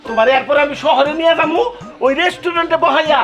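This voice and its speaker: A man playing a balding older husband who coaxes and defends himself. His voice sits high and he speaks quickly. Faint music plays under him. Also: a bit bright. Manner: coaxing, defensive. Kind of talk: comic village drama acting.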